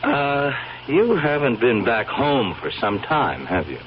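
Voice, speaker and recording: A man's voice: mid-range voice, wildly swooping pitch, takes it slowly. An actor in a classic radio drama playing a polite, hesitant man who probes with careful questions. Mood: polite, probing. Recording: muffled, noisy old radio recording.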